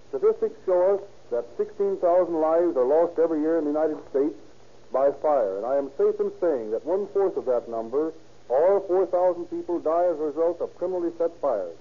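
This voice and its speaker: A man, a fire captain, giving a stiff, formal scripted address on 1930s radio. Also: on the high side. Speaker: fire captain, guest speaker. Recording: muffled old radio recording.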